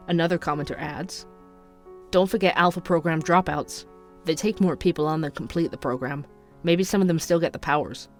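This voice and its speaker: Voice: medium pitch, natural voice. An actor as a young night-shift podcaster in an audio drama, with a mid-range voice. The delivery is inquisitive and skeptical, confiding each detail like a private investigation log, with music underneath.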